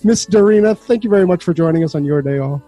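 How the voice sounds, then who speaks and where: Relaxed male co-host, high mellow voice, faint music behind. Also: fast, no room sound. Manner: laid-back, filler-heavy, joking. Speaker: podcast co-host. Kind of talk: casual podcast chat.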